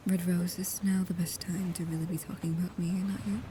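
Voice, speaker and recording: A woman playing a devoted, proud and guarded vampire protector in an intimate ASMR roleplay, speaking softly and haltingly, often dropping into a whisper. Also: lowish voice, flat delivery, lightly acted. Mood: tender, guarded. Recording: clear background noise with ambience.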